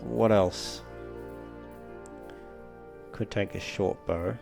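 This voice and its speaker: Man, deep voice, creaky fry, casual let's play commentary, musing aloud, faint music behind. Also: fairly even intonation, drawn-out words, darkish tone. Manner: low-key, musing aloud as he plays.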